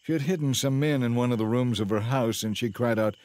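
A man narrating a dramatized Bible story in a measured, scripted storytelling style. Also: mid-range voice, darkish tone, no echo.

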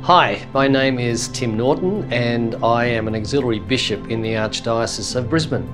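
A man's voice: mid-range voice, normal speed. A man in his sixties, an auxiliary bishop, earnestly and preacherly urging his listeners toward a mission. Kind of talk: promotional address to the faithful. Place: room with a brick wall and shelves. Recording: soft music underneath.

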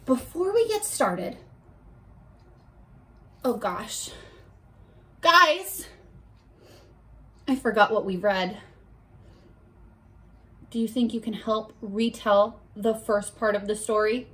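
A young woman leading a read-aloud lesson for children, talking to the camera in an animated, encouraging, child-directed way. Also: on the high side, slow, pauses often. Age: young adult. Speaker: children's teacher. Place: bedroom at home, indoors.

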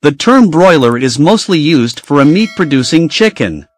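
A man giving scripted informational narration in a measured, instructional way. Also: fairly high pitch, very animated.

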